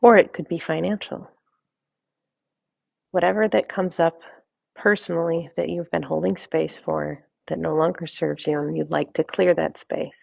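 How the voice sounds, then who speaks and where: Female meditation guide, low register with noticeable vocal fry, gentle step-by-step instruction. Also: fairly even intonation, moderate pace, dry recording, clean studio sound. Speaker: meditation guide, wellness coach.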